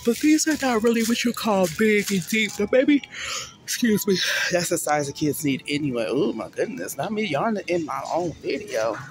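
A woman's voice: mid-range voice, big pitch swings, normal speed. A drowsy, folksy woman in her forties chats straight to her viewers, calling them "y'all" and "baby" as she rambles along. She talks into a handheld phone, first from a parked car and then out in a big store, with a faint hum of ambience behind her. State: sleepy. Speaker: mom vlogger. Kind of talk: casual shopping vlog talk. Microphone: handheld phone camera.

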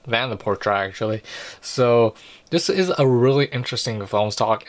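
A young man in a small room talks to camera about film photography, casually, with lots of fillers and restarts. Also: mid-range voice. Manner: rambling, many "like" and "basically" fillers, self-corrections. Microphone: large mic above the frame.

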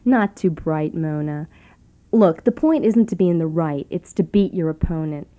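A woman's voice: sits low, moderate pace, dark tone, highs cut off, lightly acted. An actress playing a breezy, callous woman leading a self-help seminar in a satirical radio comedy, glib and slangy. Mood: brisk, patronizing.

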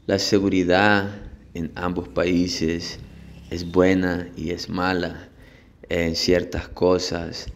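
Low, flat-voiced Salvadoran man. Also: gravelly, a little hiss or hum. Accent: Salvadoran Spanish. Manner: reflective, conversational, tags sentences with questions. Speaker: vlogger. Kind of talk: casual vlog monologue. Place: outdoors, alone.

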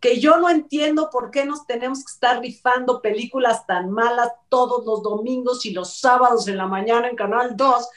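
A woman's voice: on the high side, fairly even intonation, normal speed, few pauses. A middle-aged woman with a Mexican accent co-hosting an entertainment talk show, chatty and opinionated, giving casual news commentary. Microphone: video call. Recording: distant mic on video call.

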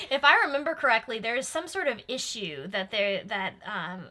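Bright-voiced young woman, slightly high. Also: expressive. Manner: halting, hedging explanations. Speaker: guest, Star Trek fan explaining the show. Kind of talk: casual episode commentary chat. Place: small room, indoors.

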